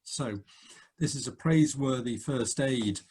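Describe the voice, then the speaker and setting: A man speaking as a cadet force commandant, formally presenting and reading out in a measured way. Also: medium pitch, darkish tone, a little hiss or hum.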